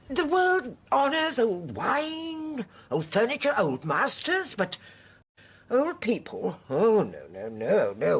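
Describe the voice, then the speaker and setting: A woman's voice: mid-range voice, breathy edge, heavily acted. An actress playing a genteel, haughty older woman in a radio drama, a chatty and unrepentant poisoner with an imperious, emphatic manner. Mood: indignant.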